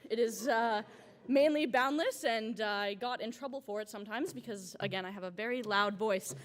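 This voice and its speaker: A woman's voice: on the high side, normal speed. Bubbly young woman accepting an award. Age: young adult. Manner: excited, bubbly, loud and energetic. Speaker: student award winner. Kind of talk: acceptance speech. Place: event hall.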